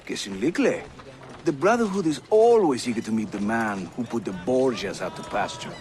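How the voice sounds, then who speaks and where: An actor playing a jovial, witty Turkish assassin leader who guides newcomers, with a Turkish accent and a teasing, theatrical delivery in a video game. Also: male voice, mid-range voice, lively intonation, normal speed, lightly acted. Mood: amused. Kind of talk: video game character acting. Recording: loud music behind the voice.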